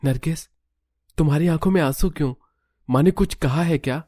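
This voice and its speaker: Cartoon young husband played by a man: devoted, insistent, earnest, lifted pitch. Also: normal speed, warm tone, dry recording, clean studio sound, natural voice. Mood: concerned. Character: devoted, reassuring young husband and pleading son.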